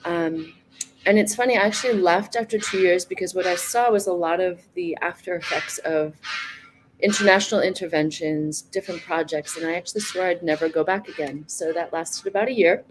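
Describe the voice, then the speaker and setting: Soft-spoken woman with a lowish voice. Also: a little fast, noisy background. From United States. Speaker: guest. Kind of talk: casual online conversation.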